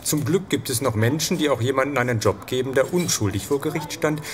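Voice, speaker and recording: A man's voice: medium pitch, fairly quick, background noise, close to an everyday voice. An actor playing a resentful male shop clerk under suspicion in an audio drama, indignant and falsely polite. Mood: defensive, indignant. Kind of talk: German audio drama acting.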